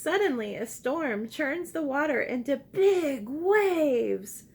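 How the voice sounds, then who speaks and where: A woman reading a picture book aloud to young children like a teacher, expressive and encouraging, pausing to ask simple questions and invite repeats. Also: sits high. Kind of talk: interactive children's read-aloud with call-and-response prompts.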